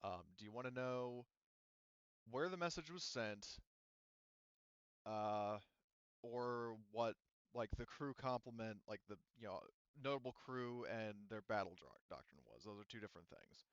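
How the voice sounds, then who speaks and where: Male game master, smooth clear voice, halting explanatory delivery, dry but slightly dull recording. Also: mid-range voice, lively intonation, no room sound. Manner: halting, explanatory, hedging with asides. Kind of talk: improvised tabletop game narration and rules talk. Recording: muffled, dull microphone sound.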